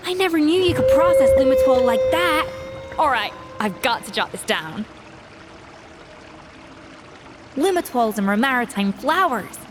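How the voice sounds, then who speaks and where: A woman voicing a keen, inquisitive professional chef in a video game, articulate and expressive. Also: high-pitched, normal speed. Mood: amazed. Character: keen professional female chef, inquisitive, precise note-taker. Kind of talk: animated game voice acting. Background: music.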